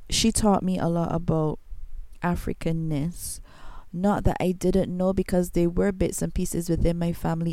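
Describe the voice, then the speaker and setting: A woman's voice: lowish voice, vocal fry, dark tone, dry recording. A woman from Barbados with a Barbadian accent, hosting her own podcast and telling a personal story in an earnest, reflective way. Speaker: podcast host. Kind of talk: solo podcast monologue.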